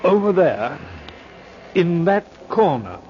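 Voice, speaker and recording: Courteous ship's captain, higher-pitched, noisy radio. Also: male voice, big pitch swings. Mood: matter-of-fact. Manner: polite, formal, deliberate. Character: courteous, matter-of-fact male ship's captain presiding over grim discovery. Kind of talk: old-time radio drama acting. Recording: muffled, very noisy old radio recording.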